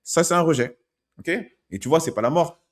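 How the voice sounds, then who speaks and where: A man's voice: fairly high pitch, animated, brisk. A man working as a dating coach, talking through video clips in a conversational way and often checking in with "ok?". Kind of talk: commenting on street-approach video clips.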